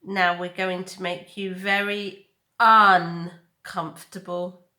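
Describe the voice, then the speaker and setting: A woman's voice: fairly low pitch, little pitch movement, slow, pushed and bright. A woman voicing a taunting, snarling young female bear with a menacing streak in a storybook reading.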